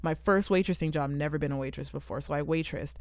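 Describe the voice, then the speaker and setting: A woman in her twenties with a Southern California accent tinged with British English, co-hosting a podcast. She rambles through animated stories on a close mic. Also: low voice, quick pace, no room sound. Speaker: podcast co-host. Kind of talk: casual chat.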